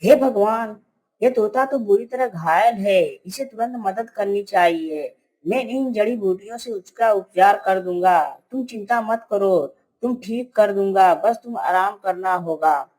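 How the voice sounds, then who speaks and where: A woman voicing a gentle, pious male hermit sage, solemn and devout, in a children's cartoon story. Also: medium pitch, unhurried, natural voice, rough recording. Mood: kindly.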